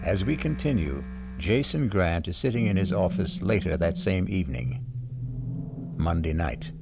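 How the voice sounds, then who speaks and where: A man hosting and narrating a radio mystery drama in a grave, measured storyteller's voice. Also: low-pitched, expressive, vocal fry, noisy background. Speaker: show host and narrator. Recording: narration over music.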